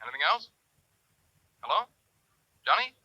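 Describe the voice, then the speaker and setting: Sharp, piercing man on the phone. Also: fairly high pitch, very animated, normal speed, exaggerated voice. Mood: impatient, puzzled. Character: brisk, impatient male insurance colleague on the telephone. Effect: telephone filter. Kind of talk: 1950s radio drama acting. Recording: thin filtered phone line, vintage radio.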